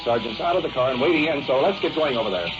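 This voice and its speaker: A man's voice: mid-range voice, expressive, fast, over loud music. A man working as a police recorder, narrating live as an on-the-scene radio host in an old field recording. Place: in and around a police car on patrol. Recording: muffled vintage 1950s radio field recording, heavy noise.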